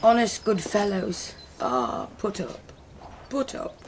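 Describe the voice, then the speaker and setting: Elderly british nurse servant, mournful, slow with very up and down pitch, shakespeare radio. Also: female voice, big pitch swings, a little hiss or hum. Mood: sorrowful. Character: ageing woman servant nurse, sorrowful and motherly. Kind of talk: classical Shakespearean radio acting.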